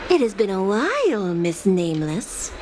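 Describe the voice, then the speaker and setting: A woman acting a courteous, formal secretary to a high official in a game, speaking politely in measured phrases. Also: very animated, noisy background. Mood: courteous, composed. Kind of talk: scripted game dialogue acting.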